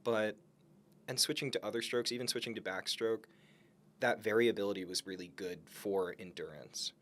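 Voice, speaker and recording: Low-voiced man chatting as podcast co-host. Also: normal speed, fairly bright tone. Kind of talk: casual conversation about self-improvement.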